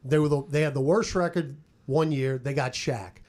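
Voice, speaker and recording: A man's voice: mid-range voice, normal speed, darkish tone. A man on a sports podcast panel talking casually and conversationally, repeating phrases as he thinks.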